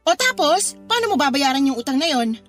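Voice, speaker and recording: An actress playing a worried, exasperated pregnant young wife arguing in a radio drama. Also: female voice, high-pitched, sing-song, a little fast. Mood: reproachful. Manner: exasperated, scolding, argumentative. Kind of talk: Tagalog radio drama acting. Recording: music bed behind the voice.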